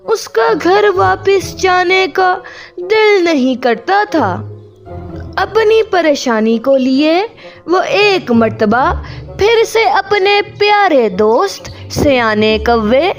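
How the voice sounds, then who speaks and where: A woman narrates a children's story in a high, animated voice. She takes it extremely slowly, with expressive rises and falls, over soft background music.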